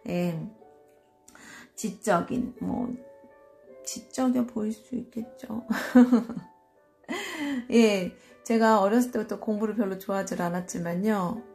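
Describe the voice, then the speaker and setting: A woman from Seoul reading and explaining tarot cards in a casual solo video, talking slowly and hesitantly with many fillers and soft laughs. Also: mid-range voice. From Seoul, South Korea. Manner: slow, hesitant, self-deprecating, frequent fillers and soft laughs. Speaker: tarot reader.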